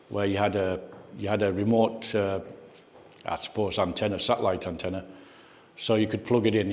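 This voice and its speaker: A man with a fairly low voice gives an informal talk at a club meeting. He speaks conversationally, in the manner of someone sharing memories with fellow hobbyists. The room adds a long, echoey reverb around his words. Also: darkish tone. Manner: conversational, reminiscing, puts questions to the audience. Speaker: guest speaker at a radio club.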